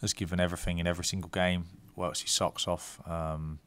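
Low, flat-voiced football manager. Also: male voice, clear, resonant tone. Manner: measured, many ums and restarts. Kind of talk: press conference answers. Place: club press room. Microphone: desk mic.

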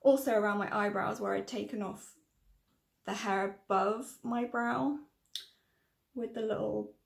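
Woman in her 30s, croaky n fry-heavy, rambly casual tutorial chat, bit of a roomy echo. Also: mid-range voice, steady tone, measured pace. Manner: chatty, rambling, self-correcting. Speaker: makeup tutorial vlogger. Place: small room indoors.

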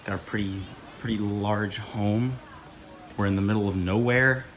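A young man with a lowish voice gives a deadpan, laid-back tour of a vacation rental. He is filmed on a phone in an echoey room with clear background noise. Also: moderate pace. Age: young adult. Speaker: vlogger. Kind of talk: casual tour-guide vlog chat. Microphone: phone camera.

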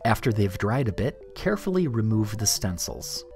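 Male how-to narrator, lowish register, animated inflection, scripted step-by-step read over faint music. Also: normal speed. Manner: clear step-by-step instructional delivery. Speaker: tutorial narrator. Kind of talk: scripted DIY tutorial narration.

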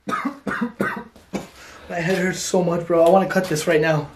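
A young man vlogging a staged paranormal challenge in a dark abandoned building at night, hoarse and panicked, talking in shaken, hushed bursts. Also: high-pitched, little pitch movement, takes it slowly, echoey room, noisy background. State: hoarse, complaining of a sore throat.

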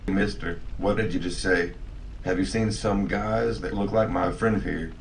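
A man's voice: sits low, steady tone, measured pace, a little hiss or hum. A man playing a determined young adventurer who protects his friends, blunt and casual, in a video game fandub. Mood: demanding. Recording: distant mic under game music.

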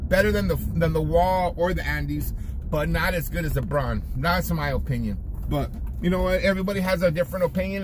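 A middle-aged barber vlogger talking casually from his car, rambling and restarting his sentences often. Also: high-pitched. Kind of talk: casual vlog talk. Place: parked car. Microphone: phone camera on the dashboard. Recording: noisy car interior.

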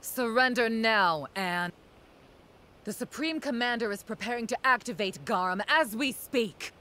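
Proud, stern young army general. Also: female voice, a little high, a little slow, sharp tone. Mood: commanding. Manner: formal, commanding, haughty. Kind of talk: video game voice acting.

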